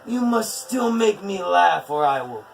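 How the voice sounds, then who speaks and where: A man voicing a furious, vengeful female frost giantess who shouts her demands. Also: sits high, very animated, measured pace, creaky, over music, pitched above the performer's own voice, exaggerated voice. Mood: raging.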